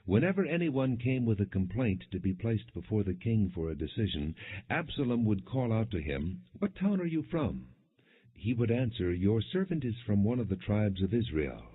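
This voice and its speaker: A man narrating scripture as an audiobook, reading in a measured, formal storytelling manner. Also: on the low side, very animated, husky. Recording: muffled lo-fi, phone-like band.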